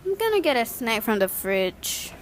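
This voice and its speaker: A woman's voice: on the high side, moderate pace, rough recording. A woman playing a nervous, wary young renter with a wry streak in an amateur radio drama, with some noise behind her. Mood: bewildered, wry. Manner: hesitant, expressive, wry.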